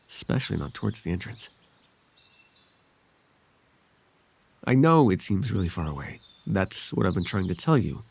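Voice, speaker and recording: Man playing a low, husky, bashful fairy man. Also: a little mellow, exaggerated voice. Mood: reassuring. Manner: tender, hesitant, formal old-fashioned phrasing. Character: gentle, devoted, bashful winged fairy man. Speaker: voice actor. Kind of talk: ASMR roleplay.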